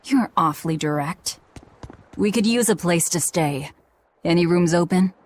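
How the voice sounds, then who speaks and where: A woman's voice: lowish voice, a little slow, darkish tone, a little hiss or hum, lightly acted. An actress playing a blunt, cynical, self-serving young woman in a video game, with a dry, sardonic delivery. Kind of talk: English video game voice acting.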